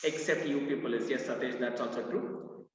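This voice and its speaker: Loud Indian man lecturing, echoey. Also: medium pitch, moderate pace. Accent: Indian English. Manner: lively, mixes in Hindi phrases, reads out student answers. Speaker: law entrance mentor. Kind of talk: live online class. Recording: echoey, roomy, distant, dull live-class audio.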